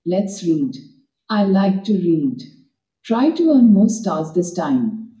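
A woman's voice: on the low side, big pitch swings, slow pace, dark tone. A woman as an app's voice assistant, giving scripted, encouraging instructions and praise. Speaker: reading app voice assistant. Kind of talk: scripted app prompts and praise. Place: played from a device speaker in a room, picked up by camera. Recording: dull app playback in echoey room.

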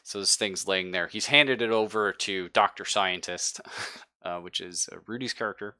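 A man speaking casually and haltingly as game master of an online tabletop game, thinking aloud. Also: lowish voice, pushed and bright, clean tone, dry recording, clean studio sound.

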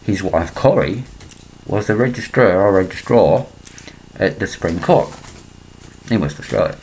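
An older man from England, speaking into a laptop webcam in a small room, rambling and clearing his throat between points. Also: deep voice, lively intonation, measured pace, a little mellow, slight background noise, low-quality recording. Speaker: vlogger. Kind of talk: rambling conspiracy monologue to webcam.

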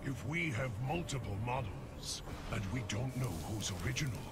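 A man's voice: medium pitch, animated, moderate pace, very noisy, lightly acted. A man voicing an imperious, coldly confident immortal guardian in a fighting game. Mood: assured. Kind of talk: fighting game character dialogue. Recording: loud game music behind.

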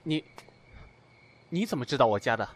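A man's voice: mid-range voice, animated, moderate pace, a little hiss or hum, lightly acted. An actor playing a guarded, coolly polite young man in an amateur audio drama. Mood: cold, guarded.

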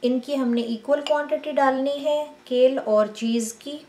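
A woman hosting a home cooking show, calmly explaining each step and addressing her viewers directly. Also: sits high, unhurried. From Pakistan. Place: home kitchen.

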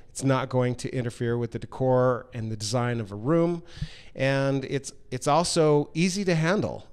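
Clear-voiced middle-aged man presenting a product. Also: mid-range voice, a little mellow. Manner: halting, pausing mid-phrase. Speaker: company presenter explaining a product design. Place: large hard-walled workshop room, indoors. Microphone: handheld microphone held close.

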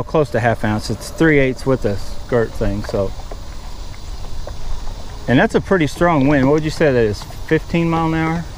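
A middle-aged man hosting a fishing vlog with casual, folksy, rambling running commentary. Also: mid-range voice. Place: outdoors on a small fishing boat on open water. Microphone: action camera worn on chest or held at arm's length. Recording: outdoor boat noise, wind.

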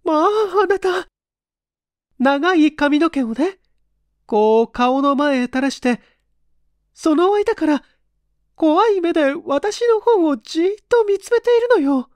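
A man's voice: very high-pitched, a little mellow, no room sound, pitched above the performer's own voice, exaggerated voice. A man voicing a frightened, talkative wife with pleading, feminine speech in a dramatized story reading. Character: frightened, talkative young wife insisting on a ghost.

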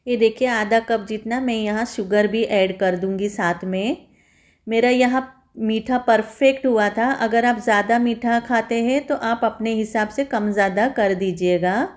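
A woman's voice: a little high, a little slow. A woman home cook giving a step-by-step recipe voice-over, instructing viewers in a friendly, familiar form of address.

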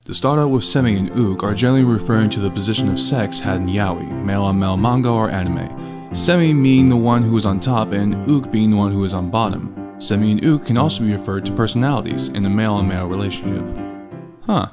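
A man's voice: on the low side, fast. A man giving a comic dramatic reading of text messages, with sardonic, exasperated delivery. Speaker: host and voice actor. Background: background music.

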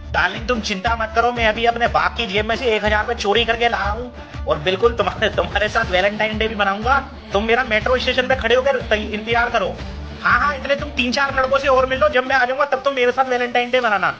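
A man's voice: very high-pitched, steady tone, fast talker. A man delivering a comic monologue in a casual spoken style. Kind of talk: comic monologue in an inserted clip. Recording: dull lo-fi clip with background music. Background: music.

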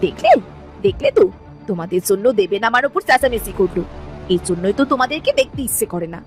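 Casting: actress as stingy, heartless sister-in-law, cartoon villain, rapid accusatory whine, animated pitch. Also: female voice. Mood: aggrieved. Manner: whining, accusatory. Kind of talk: Bengali cartoon family drama. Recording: music under the voice.

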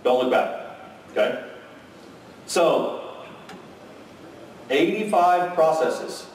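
A man's voice: fairly high pitch, expressive, very slow, slight background noise. A male conference presenter giving a technical keynote, talking energetically and checking in with "okay?" and "right?" as he goes. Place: large hotel conference room with audience. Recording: very echoey ballroom from far off.

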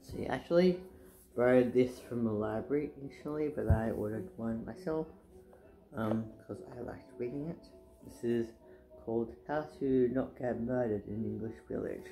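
Casting: soft-spoken young man, dark mellow tone, casual hesitant vlog chat. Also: normal speed, soft background music, poor audio. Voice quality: soft-spoken, says his voice is soft and deep. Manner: rambling, hesitant, many fillers and restarts. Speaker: booktuber. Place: living room, indoors, sitting on couch.

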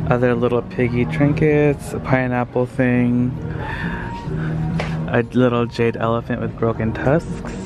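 A man in his thirties chats excitedly to his viewers as he vlogs on a handheld camera. His voice sits at an ordinary middle pitch. Music plays clearly underneath him. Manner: chatty, excitable, addresses viewers directly. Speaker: vlogger. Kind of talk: casual vlog narration. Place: car, outdoor yard and thrift store.

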